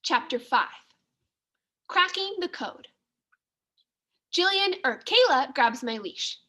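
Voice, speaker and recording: Female storybook reader, teacherly and expressive; unhurried, very animated delivery. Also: sits high. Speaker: second grade teacher reading aloud. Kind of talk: children's story read-aloud.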